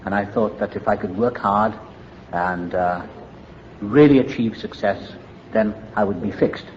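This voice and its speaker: Older Welsh man, low voice, reflective and wry, candid meeting share, muffled lo-fi recording. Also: measured pace, a little hiss or hum. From Wales. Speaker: AA meeting speaker. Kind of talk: personal recovery story at a meeting.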